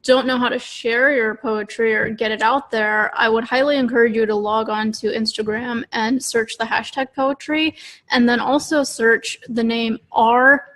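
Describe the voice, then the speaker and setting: A woman's voice: a little high, steady tone, moderate pace, a bit bright, poor audio. A woman co-hosting a podcast, giving advice in an informal, chatty style peppered with "like". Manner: informal, frequent "like". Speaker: podcast co-host. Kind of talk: casual conversational advice talk.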